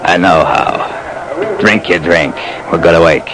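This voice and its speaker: Surly thug, slow menacing delivery, curt, old radio crime drama, noisy recording. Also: male voice, low-pitched. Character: surly male hoodlum bullying a detective.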